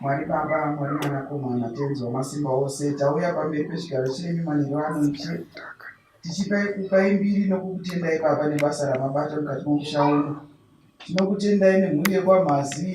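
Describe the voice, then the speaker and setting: Male actor as reverent Zimbabwean prayer leader, solemn family drama, soft mellow tone, very roomy distant recording with faint noise. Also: a little high, natural voice. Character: reverent man leading a family prayer at a bride-price ceremony. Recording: echoey room, distant mic, faint noise.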